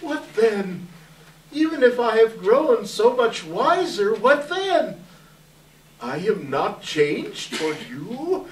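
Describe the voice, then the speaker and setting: A man's voice: sits high, big pitch swings, slow, slight background noise, heavily acted. A man playing a defensive suitor in the prime of life, formal and questioning, in a stage reading. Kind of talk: readers theater stage reading. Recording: echoey hall from far off.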